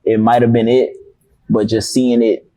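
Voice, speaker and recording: A man's voice: medium pitch, little pitch movement, moderate pace, dark tone, no room sound. A young man, a rapper telling stories in a casual interview, laid-back and slangy. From Miami, Florida. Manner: laid-back, slangy, loose storytelling with profanity. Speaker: rapper guest.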